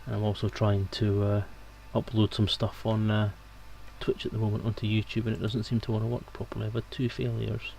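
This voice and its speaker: A man's voice: fairly low pitch, monotone, a little fast, gravelly, a little mellow, a little hiss or hum, rough recording. A man hosting a live stream in casual, unscripted, rambling chat.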